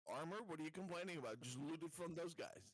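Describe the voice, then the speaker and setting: A man's voice: fairly high pitch, expressive, quick pace, a loud crowd, rough recording. A man playing a tabletop game, chatting casually and jokingly with the group.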